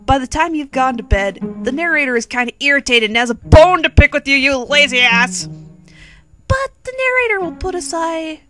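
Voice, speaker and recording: A woman's voice: high-pitched, sharp tone. A woman reading a comic second-person story theatrically, with sassy, bossy asides to the listener. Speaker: narrator reading own humorous fan fiction. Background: quirky music bed.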